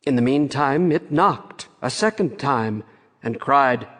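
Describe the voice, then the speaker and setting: A man narrating a fairy-tale audiobook in a measured storytelling way, with long reverb on the recording. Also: mid-range voice, lively intonation, fairly bright tone.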